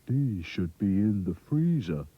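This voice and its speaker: A man voicing a gloomy, slow-talking male toy with a droopy delivery. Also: on the low side, sing-song, slightly rough, phone-quality sound, heavily acted. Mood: glum.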